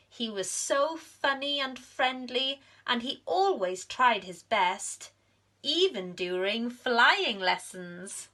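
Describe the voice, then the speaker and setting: A woman narrates a storybook for young children in a bright, fairly high voice. She takes her time, reading very slowly and leaning into dramatic emphasis like a teacher in front of a class.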